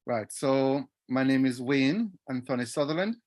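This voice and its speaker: A man from Jamaica, a guest on an online interview, telling his life story casually with many pauses and fillers. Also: medium pitch, lively intonation, darkish tone, no echo. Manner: hesitant, with many fillers and restarts. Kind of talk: casual personal testimony. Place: home. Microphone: video call. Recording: dry video-call audio.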